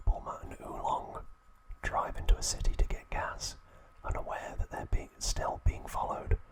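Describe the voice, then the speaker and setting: A man narrating scripted trivia as an ASMR host in a soft, breathy, unhurried whisper. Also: rough recording.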